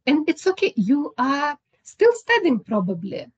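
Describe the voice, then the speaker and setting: Brief: breathy, higher woman, career advisor, upbeat and encouraging, lo-fi video call. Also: big pitch swings, a little slow, no echo. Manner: encouraging, gives advice with light laughs. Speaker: seminar presenter, career advisor. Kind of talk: online seminar Q&A.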